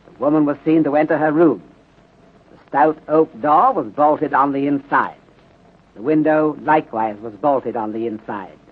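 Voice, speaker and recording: A man playing a courteous, methodical Chinese detective inspector in 1930s radio drama style, speaking formally in clipped phrasing with deliberate pauses and dropped articles. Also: a little high. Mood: measured, expository. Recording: muffled, narrow-band 1930s radio recording.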